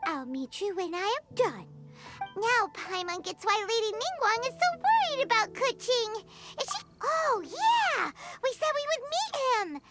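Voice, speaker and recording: A woman voicing a tiny, excitable fairy companion girl in a video game, with a squeaky childlike voice and perky, chatty manner. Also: a little slow, exaggerated voice. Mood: chatty, surprised. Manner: perky, talks of herself in third person. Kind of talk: video game character acting. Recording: loud music behind. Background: game music.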